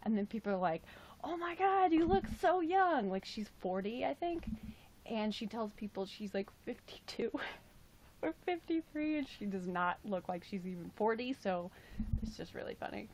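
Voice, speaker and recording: A woman's voice: lively intonation, normal speed, a little mellow, slight background noise, rough recording. Chatty woman in her twenties. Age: 20s. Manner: chatty, jokey, with playful high exclamations. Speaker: livestream host. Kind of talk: casual livestream chat reading viewer comments.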